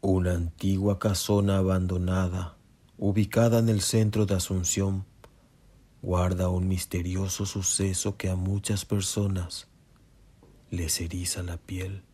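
A man's voice: deep voice, little pitch movement, unhurried, warm tone. A man giving solemn scripted narration for a radio drama. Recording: music behind.

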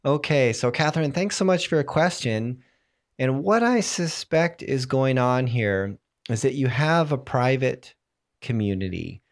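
A man's voice: mid-range voice, expressive, measured pace. Man hosting a tech podcast with a cold. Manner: clears throat now and then, fillers. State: has a cold, voice strained. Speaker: podcast host. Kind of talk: solo tech podcast explaining software.

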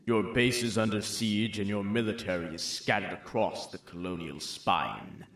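A man's voice: fairly low pitch, normal speed, a bit bright. A man playing a haughty, menacing villain admiral in a sci-fi audio drama, pompous and contemptuous. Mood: menacing, gloating. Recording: echoey, distant, faint music. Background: faint music.

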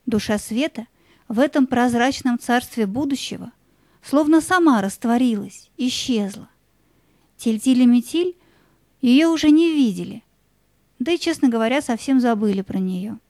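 Mellow, high-voiced woman storyteller. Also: moderate pace, no echo. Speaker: narrator. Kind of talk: scripted fairy-tale narration.

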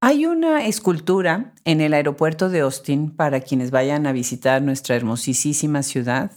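Low-voiced woman interviewer. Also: lively intonation, normal speed, darkish tone. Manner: appreciative, encouraging. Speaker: interviewer, host. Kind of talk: conversational interview.

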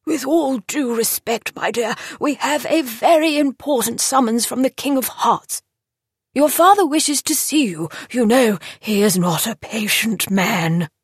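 A fussy, portly old gentleman guardian, as a woman narrator puts him on, pompous and fretting, with a high, breathy voice and an unhurried delivery. The storybook reading sounds dry and close. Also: no echo. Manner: fretful, pompous. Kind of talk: audiobook character voice.

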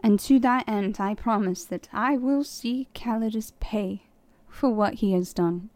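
Woman acting vengeful fantasy witch, solemn, formal, measured delivery, slow pace, dry recording. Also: mid-range voice, a little mellow, no room sound, natural voice. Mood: vengeful resolve. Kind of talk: fantasy character monologue.

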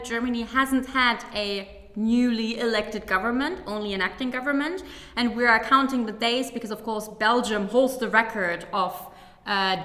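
A woman's voice: on the high side, bright, forceful tone. A woman giving explanatory commentary as a political analyst on a panel, emphatic and precise in how she explains. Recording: echoey, reverberant hall.

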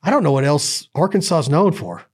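A man's voice: on the high side, very animated, a little fast, husky. A man hosting a podcast in a rambling, joking, folksy way, drifting into ad reads. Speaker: podcast host. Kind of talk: casual podcast chat and ad reads.

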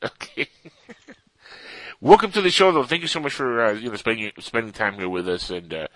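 Excitable bright-voiced male host. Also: medium pitch, normal speed, no room sound, poor audio. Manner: excitable, fillers like "you know" and "like". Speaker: podcast host. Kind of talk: casual interview chat.

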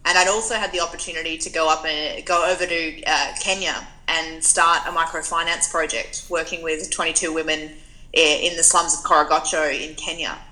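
A woman's voice: low-pitched, fairly even intonation, a little fast, pushed and bright, rough recording. A woman, giving reflective, story-filled answers as an interview guest. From Australia. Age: 20s. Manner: reflective, storytelling, frequent restarts.

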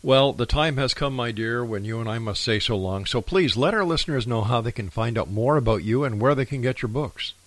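A man's voice: mid-range voice, a little fast, hardly any pauses, a bit bright. A man hosting a radio talk show, thanking his guest and signing off in a friendly announcer manner.

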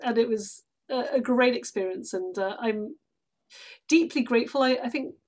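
A woman's voice: a little high, normal speed. A British woman talking reflectively in a conversational interview as a guest actress, with an easy laugh. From London, UK. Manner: laughs easily while talking.

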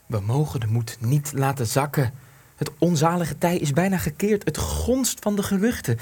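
An actor playing a steadfast, hopeful wartime resistance man in a Dutch radio play, measured and earnest. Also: a little high, darkish tone, breathy edge.

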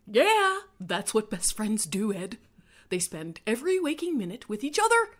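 A woman's voice: fairly high pitch, sing-song, moderate pace. A woman playing a blunt, easily irritated, sarcastic young tomboy in a comic radio play. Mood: defensive. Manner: blunt, defensive, gruffly sarcastic.

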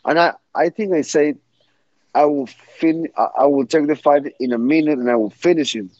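A man's voice: on the high side, normal speed, a little mellow, dry recording, muffled recording. A young man from Ecuador, giving frank, blunt interview answers full of restarts. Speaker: guest fighter.

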